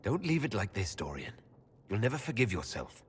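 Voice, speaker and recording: An actor playing a calm male hero and leader in a video game, probing and gently concerned. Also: lowish voice, a little fast, a little hiss or hum. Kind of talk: dramatic video game acting.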